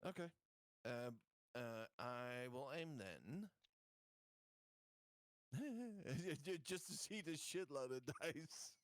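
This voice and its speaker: A man's voice: medium pitch, animated, very slow, long pauses, dry recording, studio quality. A man chatting casually as a tabletop game player, tentative and checking the rules with questions.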